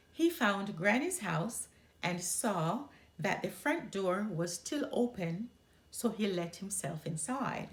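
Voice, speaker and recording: A woman narrating a children's story as a storyteller, expressive and deliberate. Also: medium pitch.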